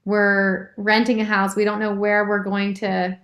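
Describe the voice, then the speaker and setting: A woman's voice: medium pitch, level tone, a little slow, fairly bright tone. A woman guest in a casual conversation. She thinks aloud in a reflective way and uses many fillers. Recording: roomy, echoey sound.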